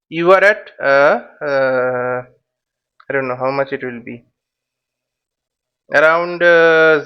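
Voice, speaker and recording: Guy explaining stuff step by step, really slow and halting, kinda muffled lo-fi mic. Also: on the high side. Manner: halting, step-by-step, with repeated phrases and check questions. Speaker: flight simulation instructor and presenter. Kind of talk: informal tutorial explanation.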